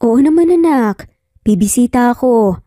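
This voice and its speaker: A woman's voice: on the high side, animated, normal speed, mellow, dry recording, clean studio sound, lightly acted. A Filipino woman playing a guarded, emotional young mother in a romance story. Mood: reassuring. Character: guarded young single mother, tender but torn.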